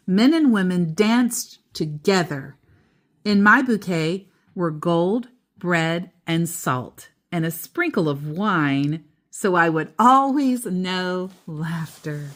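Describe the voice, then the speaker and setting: A woman reading a children's book aloud as a storyteller, deliberately, pausing between short phrases and adding casual asides. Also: on the low side, animated, a bit bright.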